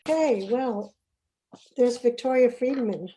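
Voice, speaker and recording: A woman's voice: on the high side, slow pace, mellow, poor audio. A middle-aged woman from Uganda hosting an online panel, chatty and often trailing off mid-sentence. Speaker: panel host. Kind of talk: casual online panel talk. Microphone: video call.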